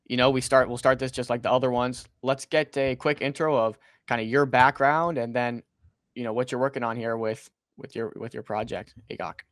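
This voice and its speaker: A man's voice: mid-range voice, steady tone, a little fast, dry recording, studio quality. A man hosting an interview, easygoing and asking follow-up questions. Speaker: show host. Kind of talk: casual interview.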